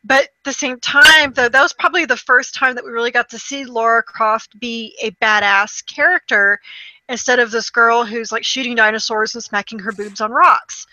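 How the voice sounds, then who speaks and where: A woman with a slightly high, very bright and forceful voice talks casually as a co-host. She is conversational and opinionated, and the recording is dry and close. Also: fairly even intonation, normal speed, no echo, highs cut off. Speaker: podcast co-host. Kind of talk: casual chat and commentary.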